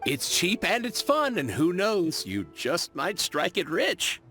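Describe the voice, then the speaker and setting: An actor playing an eager, flattering merchant in a video game, with ingratiating, theatrical sales patter. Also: male voice, sits high, slow pace, faint music behind, full character voice. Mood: inviting. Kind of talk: English-dubbed video game acting.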